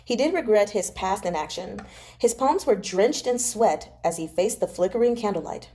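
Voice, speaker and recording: Mellow, animated woman reading a novel aloud. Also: mid-range voice, normal speed. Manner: playful, giggly asides. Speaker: live read-along host. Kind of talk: novel narration with casual, amused commentary.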